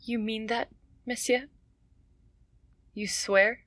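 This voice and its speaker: A desperate young woman, played by an actress, pleading with raw emotion in a radio play. She speaks slowly and deliberately. The recording is dry and close. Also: fairly high pitch, steady tone, fairly bright tone, dry recording. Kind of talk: radio drama acting.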